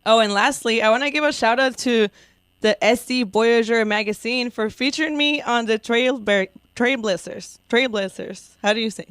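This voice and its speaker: Bubbly woman radio host, crisp and dry. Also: a little high, normal speed, fairly bright tone, no room sound, soft background music. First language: Spanish. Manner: bubbly, laughs often, teasing. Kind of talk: casual radio interview. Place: radio studio.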